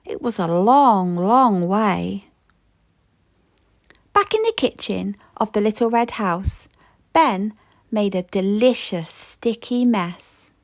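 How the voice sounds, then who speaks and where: Slow, lively woman reading a picture book. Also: medium pitch, no room sound, clean studio sound. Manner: slow, expressive read-aloud with playful rhythm. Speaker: storybook reader.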